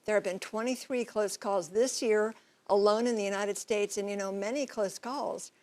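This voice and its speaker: A woman reporting as a TV news correspondent, explaining things in measured, clear phrases. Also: medium pitch, steady tone, darkish tone. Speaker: TV transportation correspondent and pilot.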